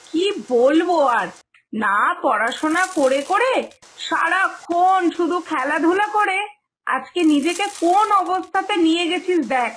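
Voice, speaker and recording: A woman's voice: very high voice, fairly bright tone, slight background noise, full character voice, low-quality recording. A woman voicing a fretful village mother in a cartoon moral story, lamenting and admonishing in an exasperated way. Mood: exasperated scolding.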